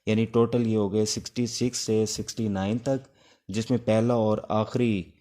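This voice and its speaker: Male Pakistani law teacher, low and soft-edged dark tone, explanatory, slightly halting delivery for an informal lecture. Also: level tone. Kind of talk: informal exam-prep lecture in Urdu mixed with English legal terms.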